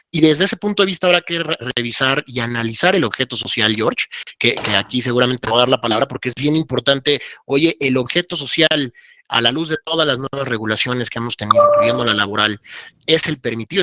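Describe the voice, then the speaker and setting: A man's voice: medium pitch, sharp tone, no echo. A Mexican man speaking off the cuff as an expert panelist in a webinar, explaining things and posing rhetorical questions. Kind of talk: unscripted webinar discussion.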